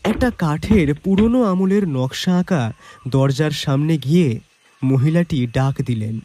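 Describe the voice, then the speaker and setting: A man narrating a detective story as the detective's companion, in an expressive dramatised scripted style. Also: a little high, unhurried, a little hiss or hum. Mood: reflective, atmospheric.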